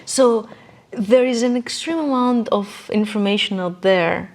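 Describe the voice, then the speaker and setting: A woman's voice: medium pitch, unhurried, a little hiss or hum. Earnest woman explaining on a podcast. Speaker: interview guest, coach.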